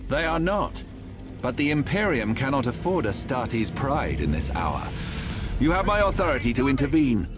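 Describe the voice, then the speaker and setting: Imperious inquisitor with lively intonation acted by a man. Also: medium pitch, moderate pace, over music, natural voice. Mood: cool authority. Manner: formal, authoritative, clipped. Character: imperious, cool lord inquisitor, authoritative superior. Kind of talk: video game cutscene acting.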